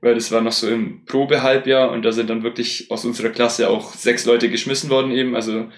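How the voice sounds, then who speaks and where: A man's voice: medium pitch, little pitch movement, fairly quick. A young man in casual chat as a podcast co-host, eager, candid and joking. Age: young adult. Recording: echoey room.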